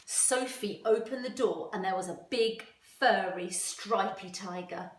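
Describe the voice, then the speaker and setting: A woman's voice: medium pitch, unhurried. A woman teacher reading a storybook aloud to young children, expressively and with questions for them. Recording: roomy echo, distant microphone.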